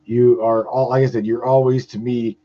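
Male host, stammers and repeats himself, mid pitch, muffled lo-fi audio. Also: level tone. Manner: halting, stammering, with many repeated words. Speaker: podcast host. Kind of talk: casual podcast conversation.

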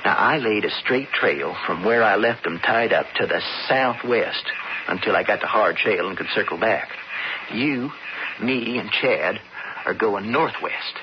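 An actor playing an intense, persuasive young outlaw in a radio western, with folksy frontier talk. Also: male voice, lowish voice, expressive, measured pace. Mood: earnest, plotting. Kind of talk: radio western drama acting. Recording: muffled, very noisy old radio recording.

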